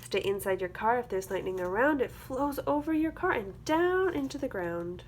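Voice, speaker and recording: A woman reading a children's picture book aloud as a teacher, with expressive, rhythmic delivery. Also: on the high side, normal speed. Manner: rhythmic, expressive delivery of rhyming verse with explanatory asides. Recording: clear background noise.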